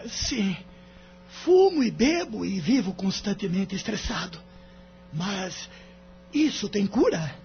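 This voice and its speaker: Guy playing a stressed brazilian husband, very high voice for a man, plaintive radio drama, muffled sound. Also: sing-song, slow, creaky, long pauses, exaggerated voice. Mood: subdued, anxious. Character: distressed, wounded husband, stressed smoker, in divorce court. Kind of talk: audio drama acting. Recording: dull lo-fi audio, music underneath.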